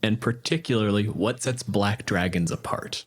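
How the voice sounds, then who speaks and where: A podcast co-host chatting casually with a dry, joking manner. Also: male voice, on the low side, normal speed, breathy edge. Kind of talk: casual chat.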